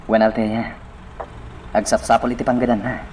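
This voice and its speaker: A man's voice: fairly low pitch, level tone, moderate pace, darkish tone, slight background noise, close to an everyday voice. A man playing a helpful, reassuring young man in a romantic radio drama, with an Ilocano accent. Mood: earnest.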